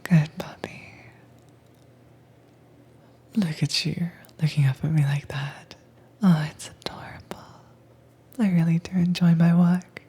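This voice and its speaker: A woman playing a calm, teasingly dominant werewolf tamer in a whispered, soft-spoken roleplay audio, with a breathy voice and a coaxing, commanding manner. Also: low-pitched, slow, long pauses, full character voice. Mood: pleased, teasing.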